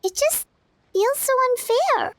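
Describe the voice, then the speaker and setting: Squeaky, childlike little fairy companion. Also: female voice, takes it slowly, no room sound, heavily acted. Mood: indignant. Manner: expressive, talks of self in third person. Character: small floating fairy companion, childlike, excitable, indignant. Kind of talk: video game character acting.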